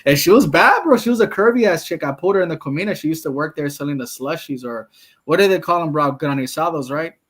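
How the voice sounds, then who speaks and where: A man with a Mexican-American accent tells stories bluntly on a livestream, heavy on slang and profanity. His voice sits on the higher side for a man. He talks casually through a streaming mic. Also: expressive, fast, a bit bright. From United States. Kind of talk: casual chat. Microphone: streaming call mic.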